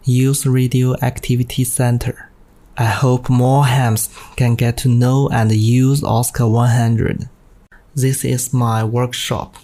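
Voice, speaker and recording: Flat, slow Chinese-accented man presenting. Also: medium pitch. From China. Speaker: amateur radio presenter. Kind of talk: scripted presentation narration.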